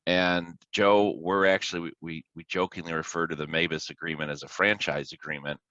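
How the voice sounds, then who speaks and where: Male guest, American, very low pitch with a forceful edge, informal explainer on a dry, slightly dull recording. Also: steady tone, a little fast, no room sound. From Illinois, United States. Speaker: podcast guest, fire dispatcher. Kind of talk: casual explanatory interview talk with anecdotes.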